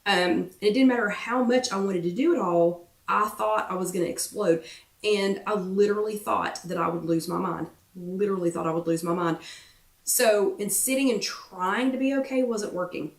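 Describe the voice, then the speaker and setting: A woman hosting a wellness workshop, chatting casually and encouragingly to viewers, repeating herself for emphasis with lots of ums, her voice getting croaky. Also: mid-range voice, moderate pace, distant mic. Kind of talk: casual motivational chat to viewers. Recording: roomy echo, away from the mic.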